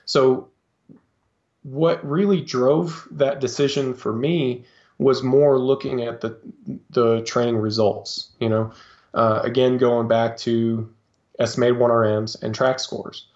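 A man talking as a guest on a podcast, explaining his coaching in a halting way with lots of fillers and restarts. His voice sits in a middle register, and the recording sounds a little dull and lo-fi. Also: mid-range voice, fairly even intonation, a little mellow. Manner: hesitant, many fillers and restarts. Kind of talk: casual interview talk.

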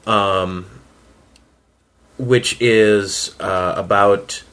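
A man chatting casually on a panel as a writer, explaining his work in hesitant, halting stretches. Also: lowish voice, steady tone, fairly bright tone, a little hiss or hum. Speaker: fiction writer on a round-table panel. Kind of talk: casual round-table chat.

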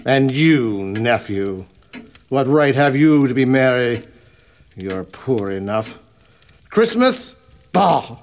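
Bitter, creaky old miser. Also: male voice, mid-range voice, sing-song, slow pace, long pauses, a little hiss or hum. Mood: scornful. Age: older. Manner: barking, sneering, contemptuous. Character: bitter, miserly old man, scornful and grouchy. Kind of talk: radio drama acting.

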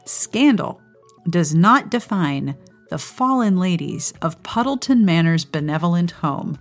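A woman's voice: fairly low pitch, animated, a little slow, soft background music. A woman hosting a podcast in a chatty, playful way, reading intros and putting questions to guests. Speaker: podcast host and interviewer. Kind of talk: upbeat podcast intro, ad read and interview.

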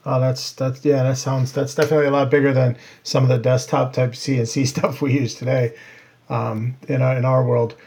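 A man hosting a podcast interview, speaking in a friendly, halting way with many fillers. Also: mid-range voice, flat delivery, gravelly, darkish tone. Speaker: podcast host. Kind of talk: casual interview.

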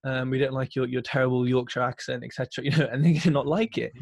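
A man's voice: mid-range voice, steady tone, fast talker, gravelly, darkish tone, no room sound, studio quality. British man, chatty and emphatic podcast guest. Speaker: podcast host interviewed as guest. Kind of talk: casual conversational interview.

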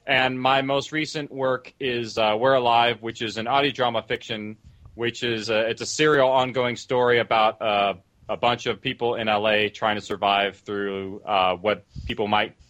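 Bright-voiced, hesitant male podcast guest. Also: medium pitch, fairly even intonation, moderate pace. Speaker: podcast guest, audio drama producer. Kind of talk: casual panel discussion.

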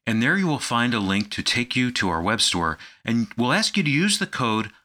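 A man's voice: mid-range voice, big pitch swings, normal speed, bright, forceful tone. A man reading a scripted promotional announcement as a radio announcer, carefully spelling out details for listeners.

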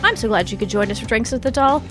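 A woman's voice: fairly high pitch, expressive, fast talker, over music. A woman hosting a podcast in a casual, chatty and teasing way. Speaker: podcast host.

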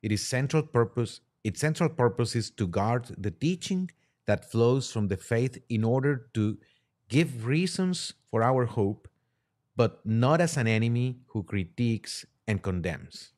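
A man speaking as a priest and podcast guest, halting as he discusses religion and poses questions. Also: medium pitch, lively intonation, darkish tone.

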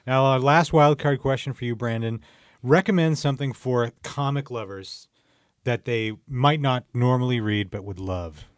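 Guy hosting a casual podcast chat, mid pitch, really dry recording. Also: moderate pace, no echo. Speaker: podcast host.

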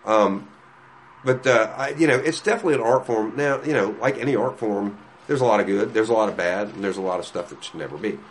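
A man's voice: lowish voice, fast, a little hiss or hum, rough recording. A man who runs a comic shop, chatting casually with many restarts and frequent laughs. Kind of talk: casual chat.

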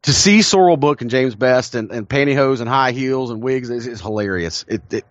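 A man's voice: medium pitch, normal speed, no echo. A man co-hosting a podcast, telling stories with enthusiasm, often restarting his sentences and laughing. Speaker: podcast co-host. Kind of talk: casual chat. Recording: dull lo-fi dry recording.

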